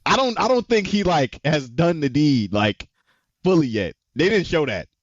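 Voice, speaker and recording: A man's voice: fairly high pitch, very animated, normal speed, dry recording, dull audio. A man co-hosting a casual talk show, retelling scenes animatedly and acting out the characters' lines. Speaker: podcast co-host. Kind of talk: casual chat recapping anime episodes.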